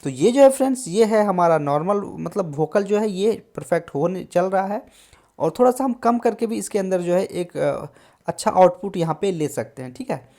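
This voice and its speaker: A man hosting a casual tutorial on music software, explaining step by step and often adding short check-in tags. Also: high voice, expressive, moderate pace. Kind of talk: casual screen-recorded tutorial.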